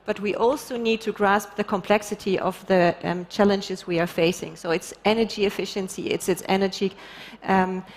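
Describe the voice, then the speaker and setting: A woman's voice: mid-range voice, fairly even intonation, normal speed, a little hiss or hum. A middle-aged woman on a conference panel, searching for words with many ums. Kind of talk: panel discussion. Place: large conference stage. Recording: hall reverb.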